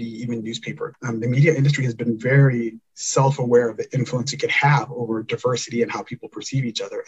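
A man talking as a podcast guest in a thoughtful, measured way, often trailing off softly at the end of a phrase. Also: mid-range voice, dull audio, slight background noise. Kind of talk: reflective conversation.

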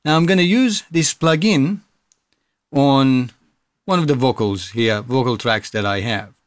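A man in his thirties giving a casual software tutorial, explaining things step by step. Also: medium pitch, a little slow, dry recording. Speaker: tutorial presenter.

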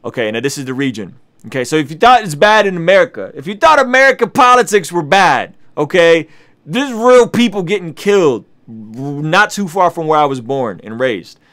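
A man's voice: a little high, normal speed. A man in his thirties, from Azerbaijan and a native Russian speaker, talking earnestly to camera in a small studio and reading news aloud. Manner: earnest, animated, many restarts and fillers. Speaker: video host. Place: small indoor studio with colored lights.

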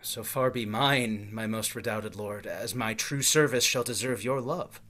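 Man acting a measured, commanding nobleman. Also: on the low side, a bit bright. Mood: deferential. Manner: measured, formal. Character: commanding, controlled usurper nobleman. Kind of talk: Shakespearean staged reading. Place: indoors, remote video call.

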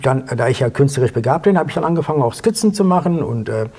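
A man in his fifties telling anecdotes as an interview guest, excitable and acting out dialogue in short bursts. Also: medium pitch, quick pace, breathy edge. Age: 50s. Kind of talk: animated anecdotal storytelling. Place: small indoor studio set at a table.

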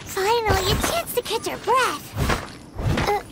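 A woman voicing a tiny, excitable, easily startled fairy sidekick in a video game, with a squeaky childlike voice. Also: slow, heavy background noise, heavily acted. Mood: uneasy. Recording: loud game sound behind.

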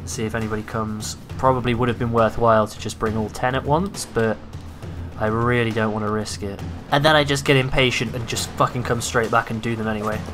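Brief: male gaming commentator, slightly raspy, hyped, foul-mouthed, faint music underneath. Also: mid-range voice, fairly quick. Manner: excitable, swears freely, hypes viewers. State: slightly raspy from long talking. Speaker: gaming YouTuber. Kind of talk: casual gameplay commentary.

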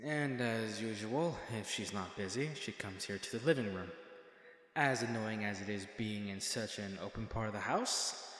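A man's voice: lowish voice, moderate pace, fairly bright tone, close to an everyday voice. Man acting an exasperated bodyguard, reverberant. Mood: dry, musing. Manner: polite to her, muttering dryly in asides. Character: stern, exasperated young male bodyguard secretly plotting against his charge. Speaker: audio roleplay voice actor. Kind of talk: scripted audio roleplay with inner monologue.

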